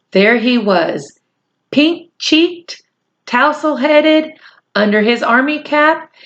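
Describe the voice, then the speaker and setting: Unhurried woman storyteller, slightly dull sound. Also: fairly high pitch. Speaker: read-aloud storyteller. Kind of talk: scripted storybook narration.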